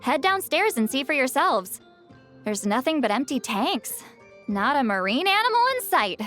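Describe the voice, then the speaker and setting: An actress voicing a proud former commander who is guarded, wry and quick to get flustered, in video game dialogue. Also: female voice, high voice, very animated, fairly bright tone. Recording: game audio over faint music.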